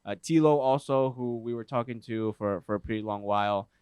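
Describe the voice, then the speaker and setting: A man co-hosting a casual soccer podcast, talking in an upbeat way and giving shout-outs. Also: mid-range voice, fairly quick, smooth, dry recording, studio quality. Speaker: podcast co-host. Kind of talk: casual chat.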